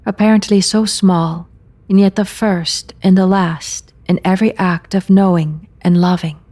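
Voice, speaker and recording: Very slow, low-voiced woman guiding meditation. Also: steady tone, dark tone. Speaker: meditation guide. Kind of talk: guided meditation, slow spoken readings with long pauses. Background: meditation music at beginning and end.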